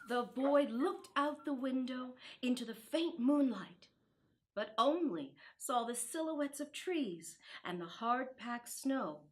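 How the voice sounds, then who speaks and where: A woman reading a children's Christmas storybook aloud in an expressive, deliberate way. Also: fairly high pitch. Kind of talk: storybook narration.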